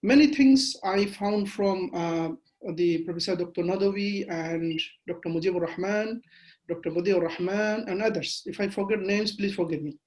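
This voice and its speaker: A man from Bangladesh, a Bengali speaker, giving a measured webinar lecture over a video call with frequent fillers. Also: high-pitched, darkish tone, poor audio. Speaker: guest lecturer.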